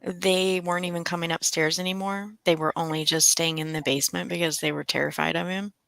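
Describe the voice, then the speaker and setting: Casting: middle-aged woman, bright but crackly voice, halting conversational storyteller. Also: lowish voice, flat delivery, gravelly, no room sound. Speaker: co-host of a book readalong livestream. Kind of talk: casual chat, personal storytelling.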